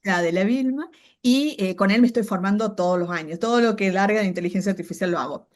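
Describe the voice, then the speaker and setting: A woman's voice: mid-range voice, quick pace, darkish tone. Middle-aged woman with Cordobese Argentine accent. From Córdoba, Argentina. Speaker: podcast guest, AI and branding entrepreneur. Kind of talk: casual interview chat.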